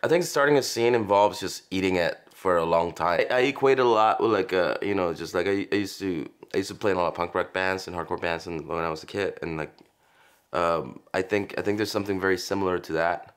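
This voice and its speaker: A man's voice: low-pitched, fast talker, clear, resonant tone. A male comedian of Bulgarian and Congolese roots, rambling with swearing and restarts in an interview. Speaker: stand-up comedian. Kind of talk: documentary interview.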